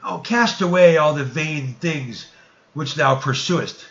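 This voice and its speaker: A man voicing an earnest, urgent biblical patriarch in a scripture reading, slow, emphatic and declamatory. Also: a little high, fairly bright tone, muffled recording. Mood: urgent pleading. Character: earnest, urgent biblical patriarch Abram pleading with his father.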